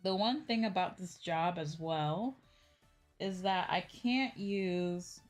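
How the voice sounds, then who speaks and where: A woman's voice: lowish voice, slow, soft background music. A woman streamer chatting casually and candidly to her viewers in a rambling, conversational way. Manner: conversational, candid, ranting with swearing. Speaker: streamer and VTuber host.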